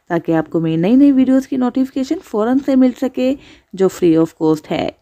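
A woman's voice: on the high side, mellow. A woman hosting a cooking channel, narrating a recipe step by step as a voice-over.

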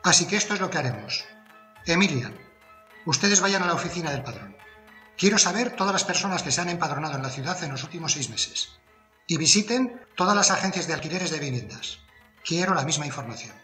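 A man's voice: a little high, expressive, fairly quick, sharp tone, roomy sound, faint music behind. A man playing a commanding, methodical police inspector in a dramatised crime audiobook. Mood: firm, resolute. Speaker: actor in an audiobook.

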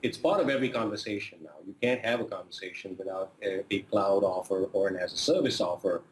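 A man's voice: deep voice, fast talker, echoey room, poor audio. A man, a sales executive, answering an interview question casually with many fillers. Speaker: sales vice president.

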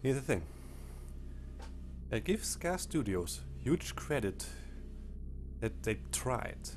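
A man whose first language is German, talking over a game menu in halting phrases that break off mid-sentence. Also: mid-range voice, big pitch swings. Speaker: let's play commentator. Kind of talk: game commentary with disclaimers. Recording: faint game menu music behind.

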